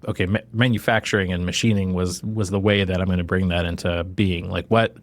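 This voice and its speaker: A man's voice: fairly low pitch, dry recording. A man hosting a podcast, chatting casually and enthusiastically, often breaking off to rephrase as he goes. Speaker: podcast host. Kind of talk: casual chat, interviewing.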